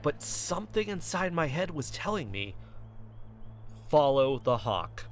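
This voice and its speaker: Earnest male narrator over loud music. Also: a little high, very animated, measured pace. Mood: intense, searching. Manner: intense, suspenseful storytelling. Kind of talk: dramatic scripted first-person narration.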